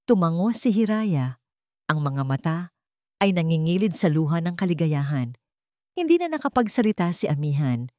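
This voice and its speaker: A woman's voice: lowish voice, sing-song, moderate pace, warm tone, no echo, clean studio sound. A woman with a Filipino accent reading a scripted dramatic story as its narrator.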